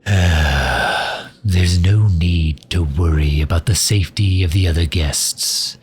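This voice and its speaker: Casting: male actor as a loyal fantasy mage advisor, extremely low voice, slow and dryly cutting, for roleplay audio. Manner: dry, sardonic, drawn-out delivery with sighs. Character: loyal, sharp-tongued male mage advisor to a demon ruler. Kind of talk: ASMR roleplay audio drama.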